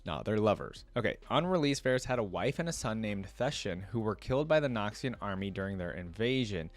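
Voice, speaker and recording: A man's voice: lowish voice, expressive, fairly quick. A young man hosting a reaction video, reading passages aloud and breaking off into casual, filler-heavy asides. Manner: reads text aloud, then breaks off with chatty asides and filler.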